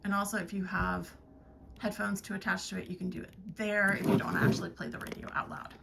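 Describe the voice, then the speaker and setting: Female reviewer, mid-pitched with vocal fry, plain-spoken and explanatory, noisy home-style recording. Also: little pitch movement, brisk. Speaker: product reviewer. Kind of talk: casual product review demo. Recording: clear background noise, handling clicks.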